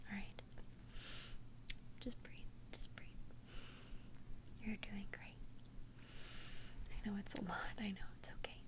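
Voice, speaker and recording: A woman playing a protective vampire best friend in a whispered ASMR roleplay, speaking breathily in a soothing, halting, reassuring way. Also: mid-range voice, background noise, lightly acted.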